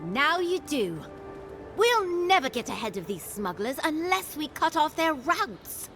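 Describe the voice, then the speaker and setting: Casting: wary woman guard in a fantasy game, bright high register, expressive, firm and businesslike. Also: measured pace, music in the background. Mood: firm, apologetic. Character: firm, officious female guard, brisk and wary. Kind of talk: video game voice acting.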